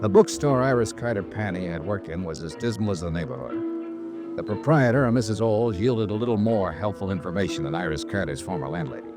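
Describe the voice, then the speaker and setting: A man's voice: lowish voice, lively intonation, brisk, over loud music, natural voice. An American man playing a tough, wry insurance investigator in a 1950s radio drama, speaking in a clipped, dry, matter-of-fact way. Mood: dry, narrating. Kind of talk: 1950s radio detective acting, first-person narration.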